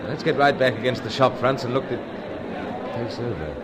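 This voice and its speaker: A man playing a resolute, brisk British secret agent in a radio adventure serial, clipped and deferential in manner. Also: mid-range voice, animated, crowd noise, close to an everyday voice, poor audio. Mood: urgent, determined.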